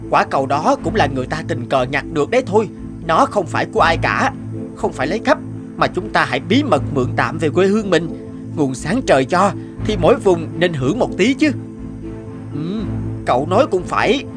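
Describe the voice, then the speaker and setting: Young fairy-tale adventurer, earnest, exclamatory, high pitch, very lively. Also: male voice. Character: eager young male villager adventurer, earnest and scheming. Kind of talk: animated fairy-tale dialogue.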